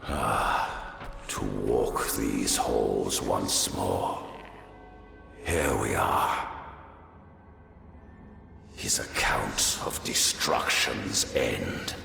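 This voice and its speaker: A man voicing an ancient, sly undead curator in a fantasy game, with a deep gravelly voice and a formal, theatrical way of savoring words. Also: extremely slow, pauses often, pushed and bright, heavily acted. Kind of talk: fantasy game character acting. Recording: echoey hall reverb, faint music behind.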